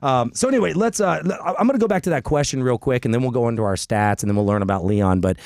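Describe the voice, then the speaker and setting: A man's voice: medium pitch, lively intonation, quick pace, a little mellow, no room sound. A man hosting a radio talk show in a studio, chatting casually, joking and rambling. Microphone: studio mic.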